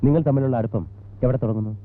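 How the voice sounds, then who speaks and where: An actor playing a persistent, businesslike police investigator in a film drama, putting clipped, probing questions in a Malayali accent. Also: male voice, medium pitch, very fast, close to an everyday voice. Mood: curious, probing. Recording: tinny band-limited old film soundtrack.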